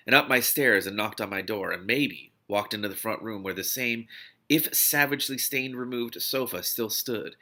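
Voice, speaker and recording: A man with a lowish pitch and a forceful, bright edge reads a novel aloud. He is animated and expressive, readily stepping out of the text to react with excitement, as the host of a read-along. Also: creaky.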